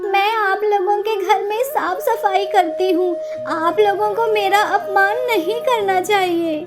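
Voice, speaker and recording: A poor, earnest mother sparrow from a kids' cartoon, acted by a woman. The voice is extremely high and plaintive. Music plays underneath. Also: fairly even intonation, a little slow. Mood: sad, earnest. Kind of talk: Hindi children's cartoon dubbing.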